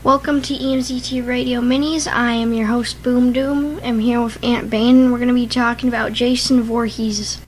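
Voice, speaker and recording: A woman's voice: fairly high pitch, fairly even intonation, normal speed, hardly any pauses, faint music behind, low-quality recording. A woman hosting a podcast in casual chat, with faint background noise. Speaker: podcast host.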